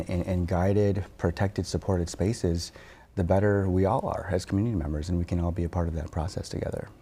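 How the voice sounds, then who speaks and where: A man, a therapist and author, speaking softly and reflectively as a TV interview guest, pausing often with fillers. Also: sits low. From born in Korea, raised in Wisconsin. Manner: soft-spoken, reflective, halting with fillers.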